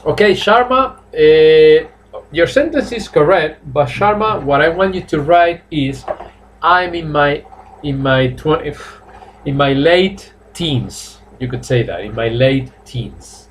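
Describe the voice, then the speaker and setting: Teacher, fifties, higher voice, slow drills, webcam room with faint noise. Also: male voice, lively intonation. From Spain. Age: 50s. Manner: repeats phrases slowly for drilling, switches between English and Spanish. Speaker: English teacher. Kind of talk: live online class, bilingual English and Spanish. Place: small room at home.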